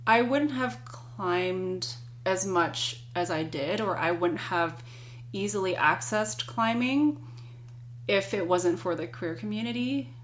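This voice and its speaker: A non-binary person in their thirties, a podcast guest, speaks earnestly and reflectively, telling a personal story in a measured way. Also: mid-range voice, fairly bright tone, slight background noise. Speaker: podcast guest, climbing guide. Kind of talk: conversational interview.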